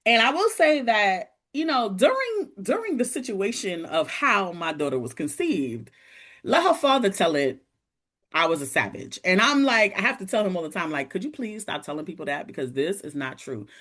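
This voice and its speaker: A woman's voice: mid-range voice, moderate pace. A woman in her forties, a podcast guest, telling a personal story in a casual chat and acting out what people said. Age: 40s. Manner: animated, acts out quoted voices.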